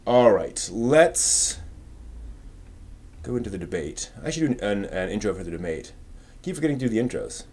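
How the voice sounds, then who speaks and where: A man's voice: fairly low pitch, very animated, normal speed, pauses often, darkish tone, slightly rough. Man casually rambling on a live stream. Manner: informal, rambling, thinking aloud.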